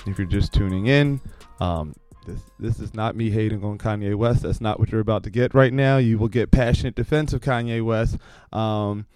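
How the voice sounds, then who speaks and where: A man's voice: on the low side, moderate pace, hardly any pauses, a little mellow, clear, resonant tone, no room sound, faint music behind. A man hosting a podcast in a casual, joking, profane and rambling way. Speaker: podcast host. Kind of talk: casual comedic chat.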